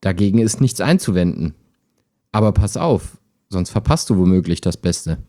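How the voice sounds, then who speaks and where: A man playing a calm, helpful, lonely chat partner in an audio drama, with a measured, earnest delivery. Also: sits low. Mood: concerned, wistful. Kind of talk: amateur audio drama dialogue, read chat.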